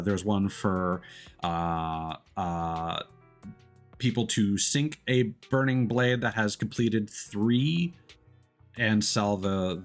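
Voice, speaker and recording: Low-voiced man rambling casually on stream. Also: a bit bright. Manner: rambling, halting explanations. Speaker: live streamer playing games. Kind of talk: casual chat while gaming.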